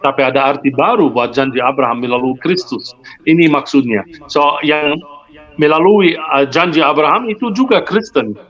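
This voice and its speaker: A man's voice: on the high side, moderate pace, fairly bright tone. Earnest man with muffled lo-fi sound. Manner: earnest, explanatory, rhetorical questions, mixes in English words. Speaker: guest speaker. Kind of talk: conversational religious discussion.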